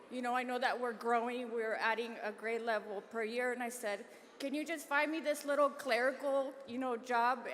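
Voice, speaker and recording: A woman's voice: on the high side, a bit bright. A woman giving a casual, self-deprecating acceptance speech for an award. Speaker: award recipient, school community liaison. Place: large banquet hall. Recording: hall reverb from a PA system.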